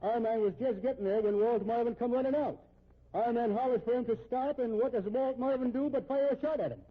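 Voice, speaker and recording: An actor playing a chatty, assured police officer in a 1950s radio drama. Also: male voice, very high-pitched, fairly quick. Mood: matter-of-fact recounting. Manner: folksy, talkative, assured. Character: chatty, unworried Irish-American male police officer. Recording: muffled, tinny old radio recording.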